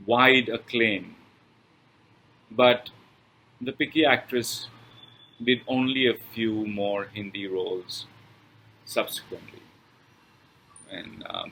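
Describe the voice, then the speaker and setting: A man with an Indian accent reading a film review aloud from a script, phrasing it deliberately in short pieces with pauses. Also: on the low side, steady tone, vocal fry, pushed and bright, low-quality recording.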